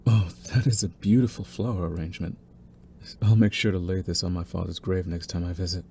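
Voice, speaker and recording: A man playing a young mafia heir in an intimate audio roleplay, smooth-spoken and deliberate, with a cunning, condescending streak beneath his courtesy. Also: sits low, fairly even intonation, warm tone, slight background noise. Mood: courteous, probing. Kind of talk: intimate scripted audio roleplay, ASMR acting.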